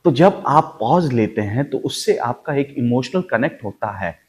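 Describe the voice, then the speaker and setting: A man's voice: mid-range voice, animated, a little slow, breathy edge. A man teaching an acting lesson, explaining step by step with emphasis and repetition of key points. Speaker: acting coach. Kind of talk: instructional acting lesson with spoken demonstrations.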